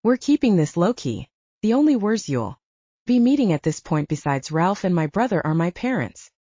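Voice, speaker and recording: A woman's voice: lowish voice, very animated, normal speed, dark tone, no room sound, dull audio. A woman narrator voicing a protective, commanding male werewolf, an older brother, in an audiobook. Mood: reassuring, matter-of-fact.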